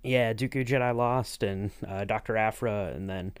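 A man's voice: on the low side, fairly even intonation, normal speed, smooth, dry recording. A man co-hosting a podcast as interviewer, talking casually in a rambling, enthusiastic way with many you-knows. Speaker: podcast co-host acting as interviewer.

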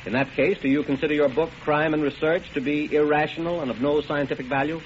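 An actor playing a patient, formal trial judge, courteous and dryly bewildered, in 1940s radio drama style. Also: male voice, fairly high pitch, steady tone, fast talker, close to an everyday voice. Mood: probing, firm. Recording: noisy, muffled vintage radio broadcast.

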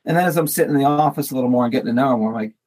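A man's voice: mid-range voice, racing, crowd noise. A man, a business owner, telling an amused work story in casual conversation, full of like and you know.

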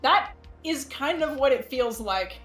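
A woman's voice: sits high, expressive, a little slow, over music. Woman chatting casually in a roomy echo. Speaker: podcast guest. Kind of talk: casual chat.

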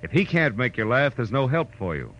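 An actor playing a wry, world-weary private detective in 1940s radio noir style, with a dry, hard-boiled manner. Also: male voice, mid-range voice, very animated, brisk, a bit bright. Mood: weary, rueful. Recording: muffled old radio recording, faint music.

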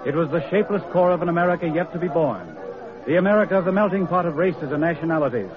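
A man's voice: a little high, expressive, fast talker, close to an everyday voice. An actor as a solemn male narrator, delivering declamatory scripted narration in an old radio drama. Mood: solemn, ominous. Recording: muffled old radio recording. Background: loud music.

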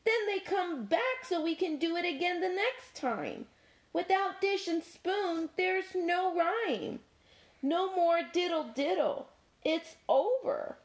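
A woman's voice: very high-pitched, expressive, voice pushed up, full character voice. A woman voicing a bossy male cat from a nursery rhyme who hurries the others along. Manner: commanding, hurried.

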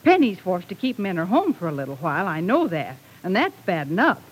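Husky, animated housewife. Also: female voice, lowish voice, fast, poor audio. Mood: indignant. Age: middle-aged. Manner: emphatic, sharp-tongued. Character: spirited, bossy middle-aged housewife and wife. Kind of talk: 1940s radio drama acting.

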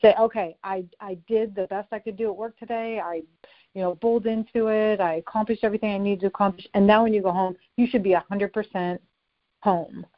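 A middle-aged woman talking as a guest in a casual interview, energetic and quick to restart her sentences. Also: medium pitch. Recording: dull lo-fi recording.

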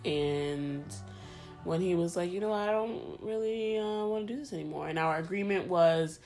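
Woman guest, Spanish first language, slightly low voice, chatty and giggly; faint music behind. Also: normal speed, a little mellow. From New Jersey. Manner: chatty, full of "like" and laughter. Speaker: podcast guest. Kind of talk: casual interview.

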